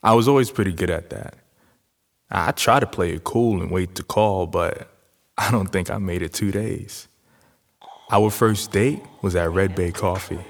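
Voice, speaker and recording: A man's voice: deep voice, a little slow, gravelly. A man speaking a radio theater monologue as a young man guarded about falling in love, in an intimate, reflective spoken-word style. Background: loud music.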